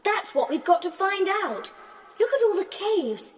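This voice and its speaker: A plucky child in an adventure audio play. The voice is extremely high, urgent and lively in pitch. It rings with a long reverb. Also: female voice, unhurried, heavily acted. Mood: brisk, plucky. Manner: urgent, exclamatory. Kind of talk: children's audio drama acting.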